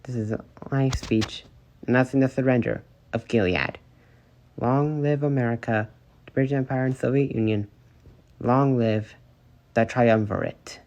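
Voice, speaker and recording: A man playing a solemn, triumphant wartime leader giving a formal victory speech in an amateur audio drama, deliberate and declamatory. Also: mid-range voice, a little mellow, lightly acted, rough recording.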